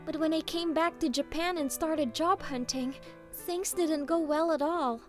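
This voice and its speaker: A woman's voice: high voice, a little slow, faint music behind. A woman playing a cheeky, overconfident young office junior in an English manga dub. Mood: candid.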